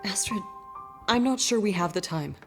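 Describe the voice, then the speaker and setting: A woman's voice: mid-range voice, expressive, normal speed, music in the background, natural voice. Game drama casting: actress for anxious townswoman keeping vigil, earnest and grave, natural delivery, prominent score behind. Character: worried townswoman keeping vigil over a sick loved one. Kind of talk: cinematic video game drama acting.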